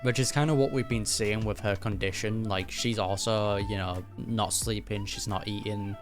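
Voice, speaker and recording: A man's voice: fairly low pitch, fairly even intonation, moderate pace. A man giving casual gaming reaction commentary, informal and slangy, recapping in plain words. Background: game music.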